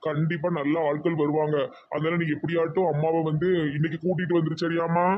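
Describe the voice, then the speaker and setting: A man playing a kind, principled big-brother figure in an amateur cartoon, earnest and gently advising, with a southern Tamil Nadu accent. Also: a little high, level tone, brisk, lo-fi sound, natural voice. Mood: advising, reassuring.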